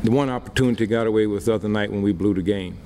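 Deep-voiced man answering press questions. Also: mellow, slight background noise. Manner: plain-spoken, unhurried, many fillers. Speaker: baseball manager. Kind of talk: post-game press conference answers. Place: press conference room. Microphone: desk microphone.

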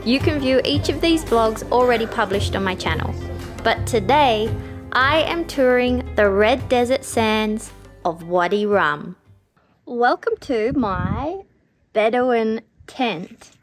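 A young woman narrating a travel vlog in a bright, enthusiastic, chatty way, filming herself on an action camera held at arm's length. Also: on the high side, expressive, takes it slowly, soft background music. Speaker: travel vlogger.

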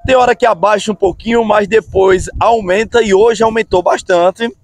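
A man, hosting a casual outdoor vlog from a bridge and filming himself on a phone at arm's length, talking in an upbeat way with drawn-out phrases. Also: sits high, fairly even intonation. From Brazil. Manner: upbeat, drawn-out phrases, frequent "hein, pessoal?" tags. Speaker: channel host. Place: outdoors on a road bridge over a river. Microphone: phone camera at arm's length.

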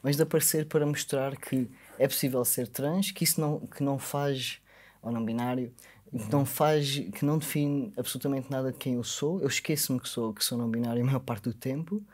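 Casting: mid-pitched Portuguese guest, thoughtful and measured, quick to laugh, studio mic. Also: male voice, steady tone, darkish tone, breathy edge. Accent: European Portuguese. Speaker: podcast guest. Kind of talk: casual podcast conversation. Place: small podcast studio with foam panels. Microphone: boom-arm studio mic.

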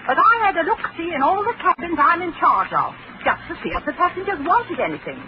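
A bustling, emphatic ship stewardess in an old-time radio drama. Her voice sits high and swoops widely. The recording is dull and lo-fi with a steady hiss behind it. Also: fairly quick. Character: chatty middle-aged ship stewardess, brisk and sure. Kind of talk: 1940s radio acting.

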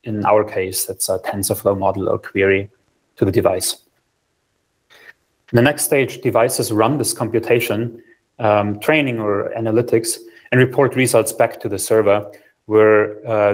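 Low-voiced young man explaining technical systems. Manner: steady explanatory delivery with frequent ums. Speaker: software engineer presenting a research platform. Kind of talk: technical conference talk over video call. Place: small room indoors. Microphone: video call, webcam framing.

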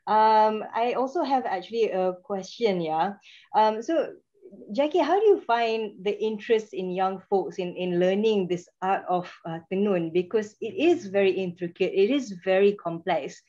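A woman's voice: mid-range voice, moderate pace, mellow. A young adult woman moderating a video call Q&A, asking questions hesitantly with many fillers. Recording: dull lo-fi video call audio.

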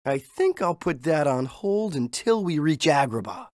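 An earnest young street adventurer from an animated game. His delivery is good-hearted and lively, swinging in pitch, in a close dry recording. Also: a little slow, no echo. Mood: easygoing. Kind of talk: animated game hero acting.

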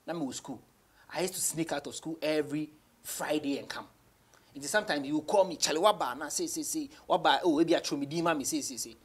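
Young Ghanaian male rapper as talk-show guest, rough-edged voice, conversational, code-switching. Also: fairly high pitch, quick pace. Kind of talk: casual interview chat, mixing English and Twi. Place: small indoor radio studio.

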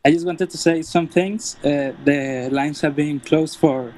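Male caller, Mexican accent, higher voice, shy giggles, faint line noise. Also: steady tone, a little slow, a little mellow.

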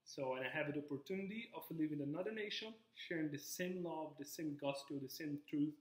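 A man's voice: fairly high pitch, brisk. Earnest young Brazilian man, echoey room. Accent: Brazilian Portuguese. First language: Portuguese. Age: 20s. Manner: earnest, gestures with both hands. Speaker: Bible college student. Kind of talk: personal testimony to camera. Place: living room, indoors. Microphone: camera in front of him.

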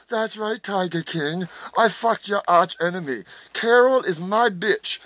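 A man's voice: very high voice, creaky, sharp tone, husky, no echo, full character voice. A man playing a manic, meth-smoking roadside zoo owner who rants profanely and boasts like a showman. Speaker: comedian in character. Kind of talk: crude sketch comedy monologue.

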